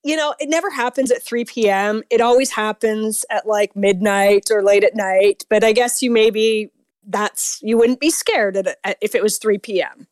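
A woman from Canada with a Canadian accent, calling in to tell a story on a radio show, talking fast and rambling with fillers and restarts. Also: mid-range voice, darkish tone, no echo, studio quality. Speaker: caller. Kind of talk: phone-in storytelling.

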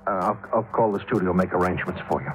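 An actor playing a worried insurance executive in a 1950s radio drama. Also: male voice, deep voice, fairly even intonation, fast talker, soft background music, lightly acted, low-quality recording. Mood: uneasy.

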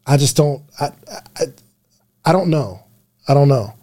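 Mellow-voiced guy from New Jersey. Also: fairly high pitch, moderate pace. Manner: relaxed storyteller, many restarts. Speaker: podcast guest. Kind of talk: casual podcast conversation.

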